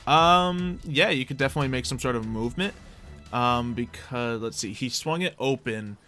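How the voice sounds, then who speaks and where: Man, game master, bright forceful voice, medium pitch, casual explanations, music behind. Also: animated, moderate pace. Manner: conversational, explanatory. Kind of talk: casual tabletop roleplay game narration.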